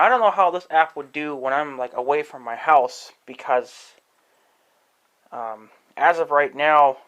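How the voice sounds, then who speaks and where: A man giving a casual, rambling demonstration to viewers, halting and thinking aloud as he talks. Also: medium pitch, a bit bright, dull audio. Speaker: amateur video creator demonstrating an app. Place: indoors in own room at home.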